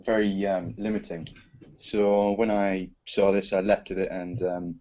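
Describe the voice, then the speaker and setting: Male composer, lower-pitched, conversational interview answers, lo-fi dull sound. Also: level tone, unhurried, warm tone.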